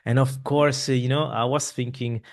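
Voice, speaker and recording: A man hosts a casual podcast interview from a home room, speaking into a boom mic over a video call. He rambles loosely, backing up and restarting his sentences as he goes. His voice sits at an ordinary middle pitch for a man. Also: normal speed. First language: Italian. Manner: rambling, many restarts and fillers, animated hand gestures. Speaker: podcast host. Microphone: boom mic with headphones, video call.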